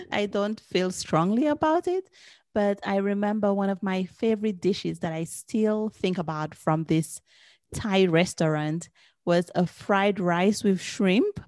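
A woman's voice: medium pitch, a little mellow, no echo. A woman hosting an interview, friendly and easygoing, laughing often. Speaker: interview host. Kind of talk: podcast interview.

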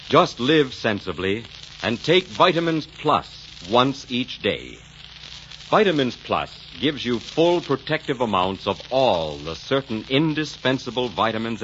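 A man's voice: lowish voice, a bit bright, a little hiss or hum. A man announcing on 1940s radio, with polished, emphatic salesmanship. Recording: muffled 1940s radio broadcast, faint music.

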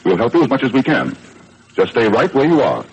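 An actor playing a steady, courteous police detective with authority in an old-time radio drama. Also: male voice, medium pitch, brisk, a little hiss or hum, poor audio. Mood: calm, questioning. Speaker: police inspector.

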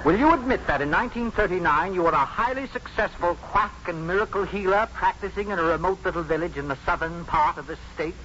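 An actor playing a stern, pompous medical professor who questions like a prosecutor in a 1950s radio drama. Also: male voice, high-pitched, a little fast, few pauses, bright, forceful tone. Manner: pompous, formal, pressing questioner. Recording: dull noisy 1950s radio recording.

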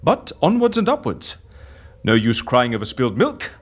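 Excitable schemer, theatrical musing delivery, very animated swoops, husky edge, comedy audio drama. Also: male voice, mid-range voice, moderate pace. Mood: musing, breezy. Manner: theatrical, musing, breezily unconcerned. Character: excitable scheming man running a death-faking agency.

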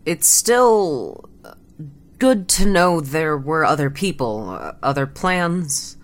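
An actor, a woman, playing a flustered, awkward space colony rebel in an audio drama, halting, hesitant and repeating herself. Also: sits low, very animated, full character voice. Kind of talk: scripted audio drama acting.

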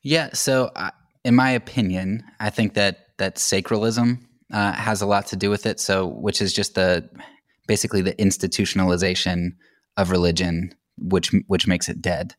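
An American man with a deep, low voice talks as a guest on a podcast. He explains in a casual, anecdotal style, recorded dry and without room sound. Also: fairly even intonation, normal speed, no echo. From United States.

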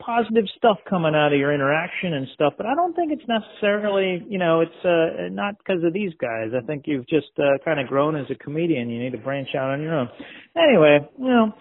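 A man's voice: on the high side, sing-song, brisk. A man leaving a casual, rambling, hesitant comic voicemail over the phone. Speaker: voicemail caller. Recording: narrow phone voicemail audio.

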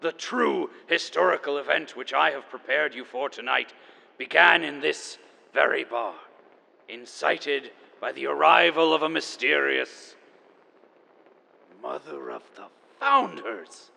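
An actor playing a grandiose, theatrical chronicler enduring after the apocalypse, pompous and melodramatic in an audio drama monologue. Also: male voice, a little high, a little slow, vocal fry, sharp tone, big-room sound, full character voice, rough recording. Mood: ominous, storytelling. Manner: pompous, melodramatic, savoring each word.

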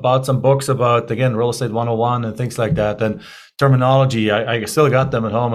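A man in his forties from Germany with a German accent, a podcast guest talking casually and rambling through his story with restarts. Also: medium pitch.

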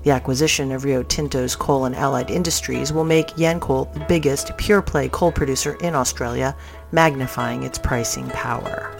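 A woman with a low-pitched voice reads scripted business news. Music is clearly audible behind her as she goes through the items. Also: moderate pace. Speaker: news reader.

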